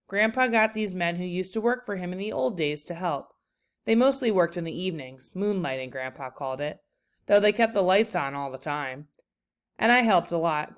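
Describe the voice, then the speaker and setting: Clear-voiced woman reading a story aloud. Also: fairly low pitch. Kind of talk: expressive children's book read-aloud.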